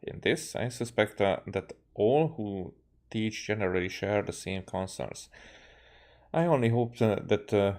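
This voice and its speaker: A male game streamer reads story dialogue aloud. His voice is soft-edged and fairly low, and he moves haltingly through the text, often correcting himself. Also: expressive. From Hungary. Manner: halting reading with frequent self-corrections. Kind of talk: reading game dialogue aloud with live commentary.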